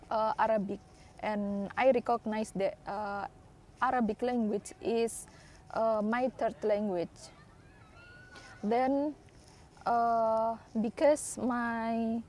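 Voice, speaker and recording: A young woman from East Java speaking English with an Indonesian accent, Javanese her first language, in a hesitant personal vlog full of pauses and fillers. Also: mid-range voice, a little mellow, a little hiss or hum. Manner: hesitant, many uh fillers, non-native grammar. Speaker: English learner.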